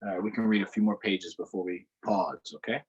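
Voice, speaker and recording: A man's voice: lowish voice, a little fast, slightly rough, dull audio. A man hosting a virtual storytime, reading a picture book aloud to children and stopping to ask them questions. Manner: expressive, asks the children questions.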